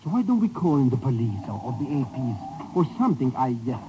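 An actor playing an excitable, wily European smuggler in a 1950s radio drama, theatrical and wheedling, on a noisy old recording. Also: male voice, medium pitch, quick pace, natural voice. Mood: alarmed.

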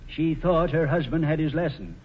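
Grave lawyer, slightly high-voiced. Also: male voice, breathy edge. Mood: grave, accusing. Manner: formal, deliberate, hesitant. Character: grave, measured small-town lawyer. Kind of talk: 1940s radio drama acting. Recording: muffled old radio broadcast.

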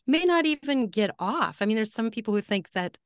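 A woman's voice: fairly high pitch, very animated, brisk, clear, resonant tone, no echo, studio quality. A woman guest who narrates audiobooks, talking casually in an interview, laughing often and restarting her sentences.

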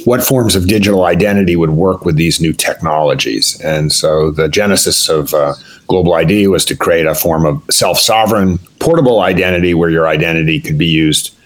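A middle-aged man from the East Coast, a CEO telling his career story in a measured way in a podcast interview. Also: low-pitched. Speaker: guest, company CEO.

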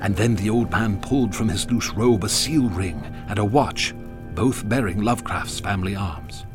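A man narrating a horror radio drama in an ornate, measured, theatrical manner. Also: fairly low pitch. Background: music.

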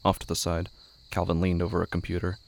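A man tells a horror story in a low, heavily creaking voice. His delivery is hushed and deliberate. Insects and hum are plainly audible behind him. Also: monotone. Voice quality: gravelly, creaky. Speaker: narrator of a horror audio drama. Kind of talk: scripted horror narration.

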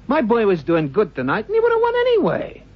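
An actor playing a nervous, pleading boxing manager with rough, protesting speech in an old radio drama. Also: male voice, very high voice, wildly swooping pitch, fairly quick, dull audio, heavily acted. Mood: anxious protesting. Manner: protesting, rough colloquial grammar.

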